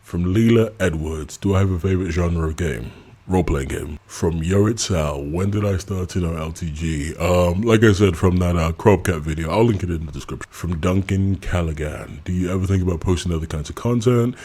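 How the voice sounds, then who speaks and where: A man's voice: deep voice, brisk, darkish tone, husky. A man from England, hosting a casual subscriber Q&A and giving candid, blunt answers with frequent laughs. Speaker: YouTube channel host.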